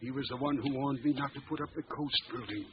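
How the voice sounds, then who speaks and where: A man's voice: medium pitch, fast, close to an everyday voice. An actor playing an indignant, defiant businessman with an American accent in a 1940s radio drama. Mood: brooding. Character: indignant, defiant male businessman accused of murder. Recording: muffled, noisy old radio recording.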